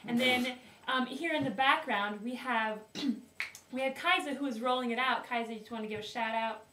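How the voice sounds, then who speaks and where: Young woman doing a jokey cooking show, higher voice, giggly, echoey kitchen picked up from across the room. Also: sharp tone. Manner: giggly, slow, mock-formal announcing. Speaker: host of a home cooking video. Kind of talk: playful mock cooking-show presenting. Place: small wooden home kitchen, indoors. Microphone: far camera. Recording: roomy, distant, faint hiss.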